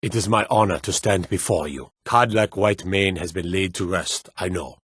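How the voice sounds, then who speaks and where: A gruff fantasy town guard from a video game, with a low, husky voice recorded very dry. He is suspicious and curt, with a wry, world-weary edge to his remarks. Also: normal speed, fairly bright tone, dry recording. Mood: solemn respect. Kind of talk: video game character voice lines.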